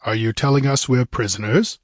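A man's voice: mid-range voice, fairly quick, dry recording, studio quality, close to an everyday voice. Man playing blunt, suspicious barbarian adventurer. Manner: terse, growling. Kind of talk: audiobook fantasy adventure character.

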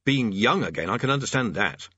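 A man's voice: mid-range voice, expressive, brisk, bright, forceful tone, breathy edge, no room sound, muffled recording. A man voicing a wary, sarcastic, put-upon wizard with a dry manner in a storybook reading. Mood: dry, probing. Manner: dry, sardonic.

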